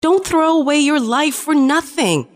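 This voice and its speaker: A woman's voice: high-pitched, measured pace. A woman playing a shapeshifting alien spy in an audio drama, a conflicted lover pleading her case earnestly. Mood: urgent pleading. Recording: long reverberant hall sound.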